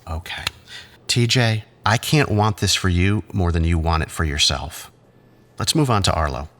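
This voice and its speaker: A male actor playing a condescending, controlling psychiatrist who talks in patronizing, measured therapy-speak in an audio drama. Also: deep voice, a bit bright. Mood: falsely soothing.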